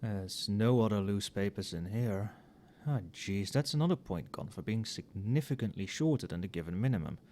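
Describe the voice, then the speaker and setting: Soft-spoken, low-voiced tipsy professor played by a man. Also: animated, normal speed, a little hiss or hum, close to an everyday voice. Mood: mildly exasperated. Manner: muttering, musing, dry wry asides. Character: tipsy, overworked male magic professor grading late at night. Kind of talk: soft-spoken casual ASMR roleplay.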